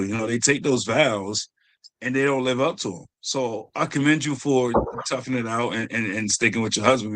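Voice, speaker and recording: An American man hosting a podcast in a casual, informal way. His voice is mid-pitched and recorded dry, and he talks in an affirming, conversational style. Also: a bit bright, no room sound. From United States. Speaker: podcast host. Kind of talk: casual interview chat.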